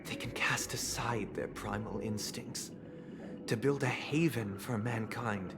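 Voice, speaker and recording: A composed idealist from a video game, preaching in a deep-leaning register. He takes his time over each grand, measured phrase, solemn and a little condescending. Music plays clearly behind him. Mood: calm, lofty. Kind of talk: dramatic game voice acting, philosophical monologue. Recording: studio voice under background music.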